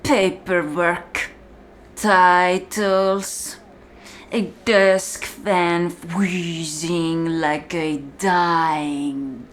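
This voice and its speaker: A woman playing a contemptuous, commanding prison warden in a slow, deliberate, menacing ASMR-style roleplay monologue. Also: on the low side, a little hiss or hum. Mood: mocking. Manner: slow, deliberate, menacing, often dropping to a whisper.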